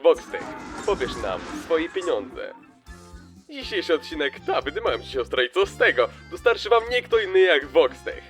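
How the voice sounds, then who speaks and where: Slick corporate showman, smug and animated, over music. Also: a little high, moderate pace. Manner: smooth salesman patter, smug. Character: slick scheming male TV-head media mogul. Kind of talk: fan dub acting. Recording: music under the voice.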